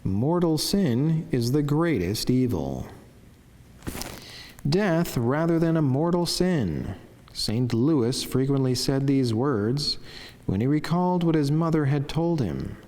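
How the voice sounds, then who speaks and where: A man gives a solemn, measured, formal reading of a scripted religious devotion. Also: mid-range voice, lively intonation, darkish tone. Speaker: religious narrator reading a saint's life and devotional meditation. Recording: long cavernous reverb.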